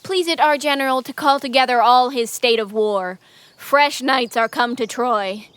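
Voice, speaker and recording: A woman playing a resolute, stately war commander, declaiming measured verse in a Shakespearean audio drama. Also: high-pitched, fairly bright tone, clear, resonant tone, no echo.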